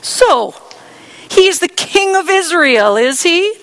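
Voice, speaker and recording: A woman's voice: extremely high pitch, lively intonation, slow, a bit bright, pitched above the performer's own voice, exaggerated voice. A woman voicing scoffing religious leaders in a sneering, raised voice.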